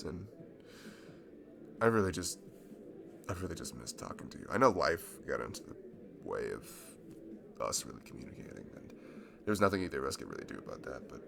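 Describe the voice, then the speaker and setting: A man's voice: sits low, full character voice. A man playing a shy, nerdy young man in a romantic ASMR roleplay, rambling and stammering toward a longtime friend. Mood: tender, nervous. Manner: rambling, hesitant, stammering, nervous laughs. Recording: clearly audible background noise.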